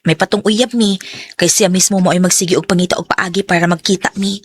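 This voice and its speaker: A woman's voice: on the low side, fairly even intonation, fast, a little hiss or hum, lightly acted. An actress playing a young woman hurt by her cold boyfriend in a radio drama, with a Cebuano Filipino accent. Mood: sad, troubled. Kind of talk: Cebuano radio drama acting with first-person narration.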